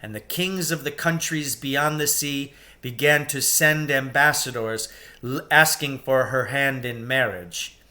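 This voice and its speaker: A man narrating a children's storybook expressively, in a measured way with dramatic emphasis. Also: mid-range voice, sharp tone. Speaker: reader of a children's novel.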